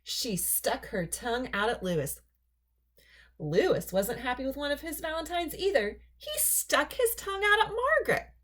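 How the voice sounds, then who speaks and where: A woman reading a children's story aloud in an expressive, deliberate storytelling manner. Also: high-pitched. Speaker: storybook reader.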